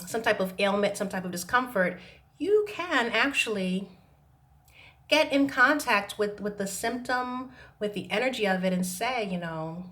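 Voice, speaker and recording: A woman talking to camera about self-healing, hesitant with many pauses and restarts, her voice still a little rough from laryngitis. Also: medium pitch, fairly bright tone. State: recovering from laryngitis, slight roughness. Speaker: self-help vlogger. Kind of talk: reflective talk to camera. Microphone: camera mic.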